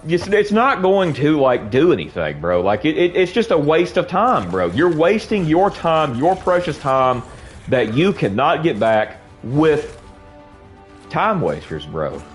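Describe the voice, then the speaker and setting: Sniffly young dude ranting at his stream chat, rambly, keeps saying bro, voice jumps up and down a lot, faint game music behind. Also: a little high. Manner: rambling, repeats himself, says bro a lot. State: sniffly from allergies. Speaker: streamer talking while gaming. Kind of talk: casual rant to stream chat.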